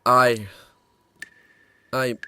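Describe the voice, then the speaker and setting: A man's voice: mid-range voice, level tone, drawn-out words, pushed and bright. A man playing a naive, earnest and anxious young man in a horror audio drama, hesitant and easily shaken. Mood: shaken, apologetic. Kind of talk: horror audio drama acting with first-person narration. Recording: clearly noisy background.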